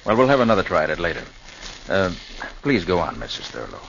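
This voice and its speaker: An actor playing a calm, probing detective in a 1940s radio drama, brisk and questioning. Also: male voice, deep voice, gravelly, exaggerated voice. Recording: dull old radio recording with faint hiss.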